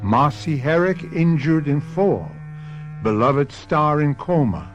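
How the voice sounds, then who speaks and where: Theatrical mystery host, sweeping intonation. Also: male voice, a little high, expressive, soft background music. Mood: ominous, intriguing. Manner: theatrical, rhetorical questioning, deliberate. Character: grave, ominous male mystery series host. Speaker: host and narrator. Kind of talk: radio drama narration. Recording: dull old radio recording.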